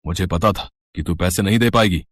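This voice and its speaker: A man's voice: low-pitched, fairly quick, no echo. A man voicing a stern, greedy village moneylender in a story, with a demanding, threatening manner.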